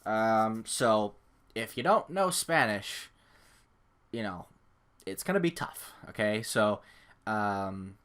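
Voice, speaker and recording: A man's voice: fairly low pitch, lively intonation, slow, pauses often, fairly bright tone, clean tone. A young man from California giving casual, rambling gameplay commentary to camera at home, full of fillers and self-deprecating asides. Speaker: gaming YouTuber. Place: home room. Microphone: desk microphone.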